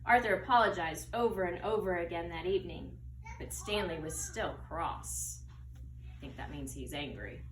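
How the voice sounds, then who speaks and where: A woman's voice: on the low side, normal speed. Woman teacher reading aloud, roomy and echoey. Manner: expressive, teacherly, explains words. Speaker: second grade teacher. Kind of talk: read-aloud of a children's story with asides to pupils. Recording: roomy echo, distant mic, faint noise.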